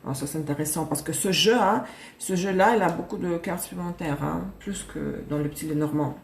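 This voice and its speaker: A woman's voice: fairly low pitch, brisk, creaky, a little hiss or hum. A woman reading tarot cards on a live stream, chatty, laughing and correcting herself as she goes. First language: Russian. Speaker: tarot reader. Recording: echoey room.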